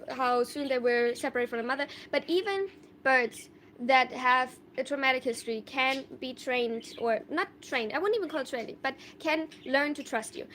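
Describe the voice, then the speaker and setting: A woman's voice: high-pitched, fairly bright tone, a little hiss or hum. A young woman from Germany chatting casually on a live stream, answering viewer questions in a thoughtful, rambling way with many fillers and restarts. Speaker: livestreamer.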